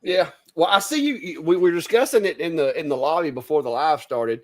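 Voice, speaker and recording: A man's voice: a little high, animated, a little fast. A middle-aged man hosting a live stream, chatty and easygoing, with frequent restarts. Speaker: host. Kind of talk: casual live-stream chat.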